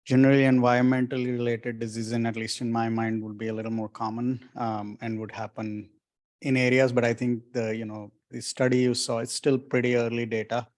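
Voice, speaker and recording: Flat-voiced man doctor answering. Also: medium pitch. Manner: measured, hedging, many fillers. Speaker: panel doctor. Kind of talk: answering audience questions.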